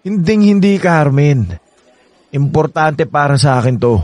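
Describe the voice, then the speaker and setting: An actor playing a menacing, obsessive ex-lover in a radio drama, with an insistent, taunting manner. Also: male voice, a little high, animated, a little slow, darkish tone, dry recording. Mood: taunting, pleading. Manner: insistent, taunting, with mocking drawl. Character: menacing, obsessive married ex-lover of a younger woman.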